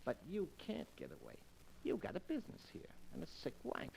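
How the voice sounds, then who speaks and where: Gravelly hard-boiled radio burglar. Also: male voice, sits high, wildly swooping pitch, fairly quick, darkish tone, exaggerated voice. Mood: threatening. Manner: tough slangy talk, clipped commands. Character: hard-boiled, menacing small-time burglar, male, cynical and callous. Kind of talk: 1940s radio crime drama acting. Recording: noisy vintage 1940s radio recording.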